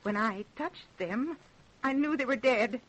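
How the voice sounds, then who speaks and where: A woman's voice: fairly high pitch, measured pace, fairly bright tone, low-quality recording. An actress playing a fussy, kindly, old-fashioned middle-aged American mother in an old-time radio drama. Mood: shaken, testifying.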